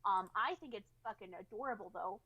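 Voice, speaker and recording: A woman's voice: mid-range voice, a little fast, sharp tone, husky. A woman telling personal stories in a casual, candid chat with informal wording. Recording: slightly dull, lo-fi audio.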